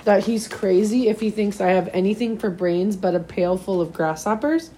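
Storybook role: wary, self-doubting schoolgirl read by a woman, slightly hoarse, hesitant delivery. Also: medium pitch, normal speed, warm tone, a little hiss or hum, lightly acted, rough recording.

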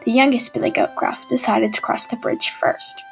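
A woman's voice: a little high, steady tone, normal speed, gravelly, over music, poor audio. A young schoolgirl narrating a folktale from a script. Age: child.

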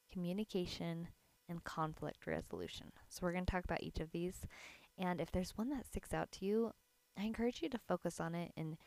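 A woman hosting a podcast in an earnest, encouraging way, her voice raspy because it is early morning. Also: lowish voice, darkish tone, no room sound. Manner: earnest, encouraging, laughs lightly. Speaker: podcast host. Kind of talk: casual solo podcast talk. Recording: dry close mic.

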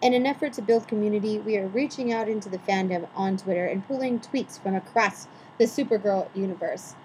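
A woman's voice: medium pitch, a little fast, a little mellow, a little hiss or hum, poor audio. A woman co-hosting a podcast, running segments and reading a recap in a mock-dramatic, theatrical way. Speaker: podcast co-host.

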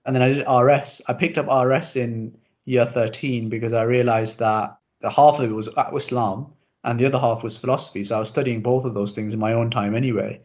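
A man's voice: medium pitch, steady tone. Chatty young man, slightly muffled audio. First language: Urdu. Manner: chatty, self-deprecating, quick asides. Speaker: podcast host. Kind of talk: casual interview chat.

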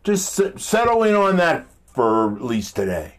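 A man with a deep, powerful voice giving an informal motivational talk in an emphatic, halting, blunt way, often repeating himself. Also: very animated. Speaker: motivational speaker. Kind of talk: informal motivational monologue with rhetorical questions.

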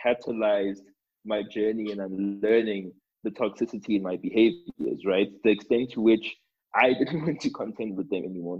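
A man speaking reflectively on a panel, repeating words haltingly as he thinks aloud, with a husky voice. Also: on the low side. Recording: dull lo-fi recording.

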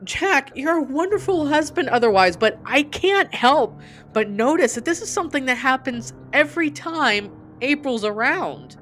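A woman's voice: high voice, animated, moderate pace, fairly bright tone, voice pushed up. A woman voicing a desperate, frightened husband who pleads urgently in a story narration. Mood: mounting desperation.

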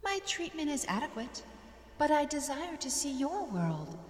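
Woman as composed alien cat-being, high voice, long reverb, formal literal phrasing, audio drama. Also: very animated, moderate pace. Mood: calm, formal. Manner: formal, literal, clipped precise diction. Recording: echoey reverb effect, faint music.

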